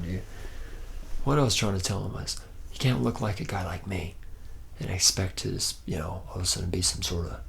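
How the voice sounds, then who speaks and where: A man acts out a boozy, womanizing young robot-factory mechanic in an ASMR roleplay. His voice is very low with a heavy creak, drifting through cocky, teasing bro slang. There is clear background noise and ambience around him. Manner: rambling, slangy bro talk, boastful and teasing.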